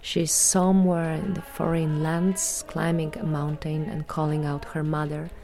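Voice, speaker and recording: A woman from Poland with a Polish accent, giving a measured, earnest prepared statement as an artist and vocal performer. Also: deep voice, level tone, creaky.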